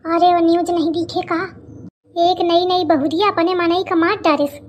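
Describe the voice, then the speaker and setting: A woman plays a scheming cartoon village mother-in-law with an Awadhi accent. Her voice is extremely high, and she nags and gossips like someone sure of her own cleverness. There is faint noise behind her. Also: level tone, moderate pace, darkish tone. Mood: suspicious, nagging. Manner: scolding, gossipy, boastful. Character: plump middle-aged scheming, suspicious village mother-in-law. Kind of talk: Awadhi comedy cartoon dialogue.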